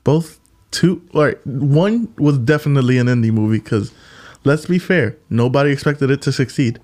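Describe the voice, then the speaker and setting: Animated podcast co-host man. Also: mid-range voice, normal speed. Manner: animated, playful, talks over his co-host. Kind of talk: casual movie chat.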